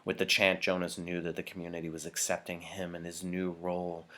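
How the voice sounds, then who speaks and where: A man reading a novel aloud as narrator, with expressive scripted storytelling delivery. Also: low-pitched, a little fast.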